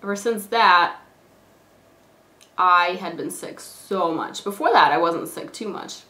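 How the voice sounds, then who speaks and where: A hoarse, stuffed-up young woman who is sick with a cold, chatting casually and rambling with lots of fillers while doing her makeup. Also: lowish voice, a little slow, sharp tone. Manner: rambling, filler-heavy, lots of "like" and "you know". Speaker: beauty vlogger. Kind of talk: casual chatty get-ready-with-me talk. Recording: echoey room.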